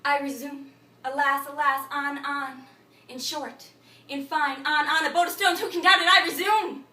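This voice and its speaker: A woman playing a frantic, babbling thinker in an absurdist stage monologue, incantatory and repetitive, swinging between muttering and shouting. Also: high-pitched, level tone, slow pace, heavily acted. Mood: anguished, incantatory. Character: frantic, babbling thinker reciting a broken learned tirade. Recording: echoey room, distant microphone.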